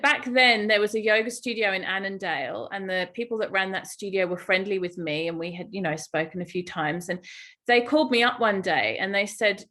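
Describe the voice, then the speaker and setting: A middle-aged woman speaks with an English accent at a medium pitch. She is a podcast guest, telling personal stories in a conversational way. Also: a little fast, fairly bright tone. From England. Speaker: guest, yoga teacher and Ayurveda guide.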